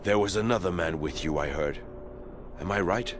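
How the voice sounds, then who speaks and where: An actor playing a wary, battle-worn soldier held prisoner in a fantasy video game, speaking in a sober, guarded, plain-spoken way. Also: male voice, sits low, moderate pace, background noise, lightly acted. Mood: grim. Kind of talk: dramatic video game voice acting.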